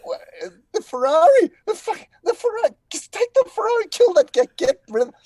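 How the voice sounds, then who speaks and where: A man imitating a giddy, excitable young video gamer, gushing and frantic. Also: very high-pitched, fairly quick, no room sound, voice pushed up. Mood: frantic excitement.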